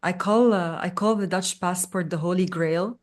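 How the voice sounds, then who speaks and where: A woman from Lebanon talks through her story on a video call, pausing often as she explains. Her voice sits a little low for a woman. She speaks into a desk microphone in a room at home. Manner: reflective, explains carefully with many pauses. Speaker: belly dance teacher, guest. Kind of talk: conversational interview.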